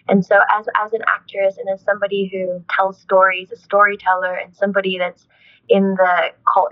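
Earnest young woman, muffled audio. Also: mid-range voice. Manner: earnest, thoughtful, frequent "like" and "you know" fillers. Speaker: interview guest, actress. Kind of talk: reflective conversational interview.

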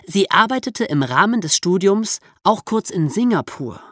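A woman's voice: mid-range voice, very animated, moderate pace, a bit bright, dry recording, clean studio sound. A woman hosting a podcast for young listeners, explaining and storytelling in a conversational way with casual asides. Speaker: podcast host.

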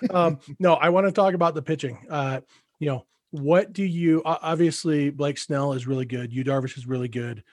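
A man co-hosting a podcast in casual chat, hesitant and measured, often restarting his sentences. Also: fairly high pitch, a little mellow, no echo. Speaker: podcast co-host.

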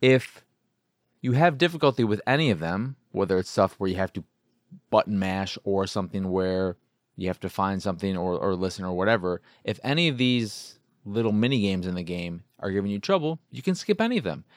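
A man's voice: low voice, expressive, brisk, no echo, studio quality. A man hosting a podcast, talking casually on his own as he reviews games, rambling and circling back to rephrase his points. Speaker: podcast host. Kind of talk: casual solo video game review talk.

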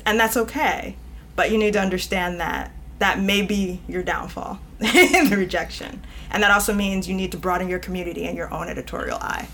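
A woman's voice: lowish voice, fairly quick, a little hiss or hum. Chatty woman editor, laughs easily. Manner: animated, laughs often, frequent "you know". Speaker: guest editor. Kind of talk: conversational interview.

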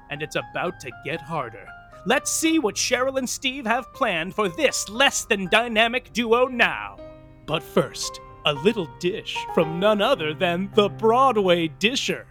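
A man's voice: high-pitched, animated, unhurried, sharp tone, faint music behind, full character voice. A man playing a gossipy, teasing narrator of an audio comedy, arch and playfully sarcastic in a theatrical way. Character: gossipy, teasing, catty storyteller of backstage Broadway.

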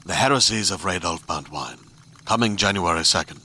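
Man playing grim doom-preaching heretic, very deep, husky rasp, portentous, dramatic trailer narration. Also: expressive, fairly quick, bright, forceful tone, heavily acted. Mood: ominous, solemn. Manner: ominous, portentous. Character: grim, blasphemous male heretic proclaiming godless doom.

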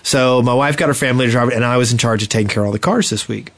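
A young man hosting a podcast, telling stories in a casual, animated way and acting out what people said. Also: medium pitch, racing. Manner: animated, acts out quoted exchanges. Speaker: podcast host. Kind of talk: casual storytelling chat.

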